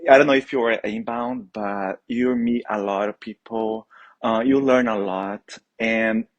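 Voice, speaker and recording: A man's voice: lowish voice, fairly even intonation, unhurried, no room sound, dull audio. A man from Brazil whose first language is Portuguese, a guest talking eagerly in a casual interview and often restarting his sentences. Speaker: guest, HubSpot trainer.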